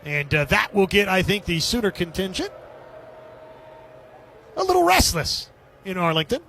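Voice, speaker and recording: High-pitched male baseball play-by-play announcer. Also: wildly swooping pitch, moderate pace, bright, forceful tone, slight background noise. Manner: describes the action in short clipped phrases. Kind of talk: live sports commentary.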